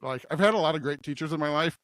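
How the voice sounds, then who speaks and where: A man's voice: fairly high pitch, very fast, fairly bright tone, dry recording. A middle-aged American man co-hosting a podcast, chatting casually in a rambling, self-deprecating way. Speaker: pastor and podcast co-host. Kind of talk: casual unscripted chat. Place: studio at a church.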